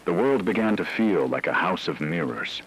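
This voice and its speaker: A man reading scripted apocalyptic horror narration in a measured, solemn, ominous way. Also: low-pitched, animated, slight background noise, rough recording.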